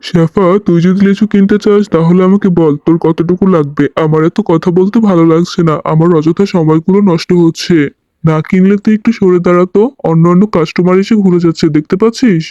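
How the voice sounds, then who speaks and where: A man's voice: high-pitched, steady tone, fast, warm tone, no room sound, close to an everyday voice. A man voicing an impatient, curt and boastful tiger fruit seller in a children's cartoon, on a dull lo-fi recording.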